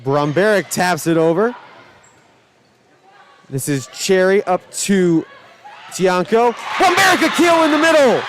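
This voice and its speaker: A man calling high school volleyball play by play, shouting excitedly over rallies, his voice starting to go. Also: a little slow, pauses often, a faint crowd, a little hiss or hum. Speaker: play-by-play announcer.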